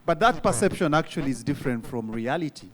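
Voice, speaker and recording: A man makes an earnest case on a conference panel, his voice a little high. He pauses often on fillers as he builds his points. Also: animated. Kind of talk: panel discussion answer.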